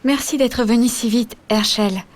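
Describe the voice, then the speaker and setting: A woman playing a shy, affectionate, gently teasing young woman in an animated game. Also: a little high, unhurried. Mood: playful. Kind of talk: animated game cutscene acting.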